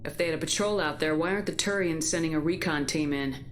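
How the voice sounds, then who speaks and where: A woman playing a resolute, supportive military commander in a video game, speaking directly and briskly. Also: low voice, slight background noise, close to an everyday voice. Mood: businesslike, skeptical.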